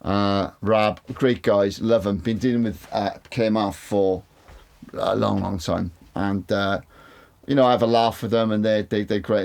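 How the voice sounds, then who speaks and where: A man's voice: fairly low pitch, a little mellow. A man with a big voice, talking casually to camera about studio gear, rambling, repeating words and swearing now and then. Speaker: mixing engineer reviewing studio monitors. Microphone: studio condenser mic.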